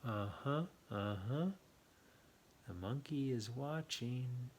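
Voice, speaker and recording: A man hosts a children's read-aloud in an expressive, playful way. He leans on words for emphasis and drops chatty asides into the narration. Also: medium pitch, takes it slowly, slight background noise. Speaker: children's story reader and host.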